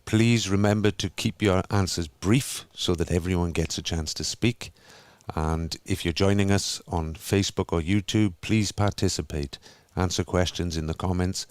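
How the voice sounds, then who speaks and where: A man co-hosting an online group, reading announcements and reminders in a measured, instructive way over a video call. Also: low-pitched, fairly even intonation, clear, resonant tone, no echo.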